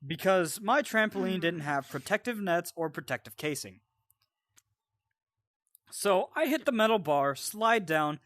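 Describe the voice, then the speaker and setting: A man with a fairly high voice tells a story from his own life in a casual, animated style. He swears freely and drifts into explanatory asides. The sound is dry, with very little room. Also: measured pace, no room sound. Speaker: storyteller and co-host. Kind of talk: casual personal storytelling with banter.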